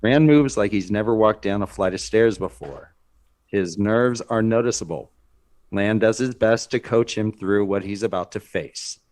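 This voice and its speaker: A man reading screenplay stage directions as narrator, in a measured, deliberate manner. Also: fairly low pitch, darkish tone. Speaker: narrator of a script read.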